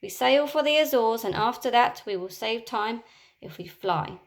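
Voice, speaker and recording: A woman's voice: fairly high pitch, expressive, moderate pace. A woman voicing a brave, cocky boy hero who leads the lost boys, with bold, commanding calls in a storybook reading.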